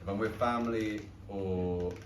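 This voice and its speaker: Young man, London Irish accent, deep, lively anecdotes, distant mic, noisy roomy venue. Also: measured pace. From London and Dublin. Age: young adult. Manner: chatty, self-deprecating, lively storyteller. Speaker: stage actor. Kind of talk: casual post-show talk. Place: small pub theatre, indoors. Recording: echoey room, distant mic, background noise.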